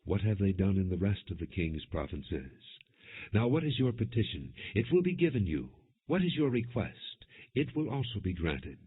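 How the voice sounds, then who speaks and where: Man reading as a deep, stately king, tinny audio. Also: big pitch swings, normal speed, breathy edge, heavily acted. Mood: formal, granting. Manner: formal, stately. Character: regal, generous king addressing his queen. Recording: muffled phone-like lo-fi audio.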